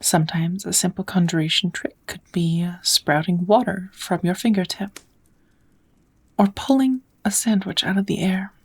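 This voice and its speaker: A woman playing a kind witch who patiently teaches magic in a soft, often whispered ASMR roleplay voice. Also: lowish voice, fairly even intonation, husky. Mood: gentle, musing. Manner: patient, encouraging, unhurried teaching. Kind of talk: ASMR roleplay, whispered lesson to one listener.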